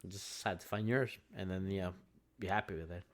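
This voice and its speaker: A young man from Venezuela co-hosting a podcast, chatting casually and reflectively with frequent pauses and restarts. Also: low-pitched, steady tone. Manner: hesitant, restarts often, reflective. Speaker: podcast co-host. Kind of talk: casual chat.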